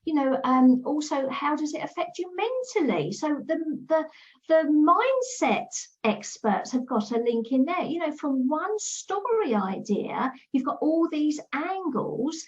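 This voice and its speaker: A woman's voice: sits high, sing-song, unhurried, highs cut off. A woman talking as a guest expert, giving direct, practical PR advice in a casual chat and often repeating her points.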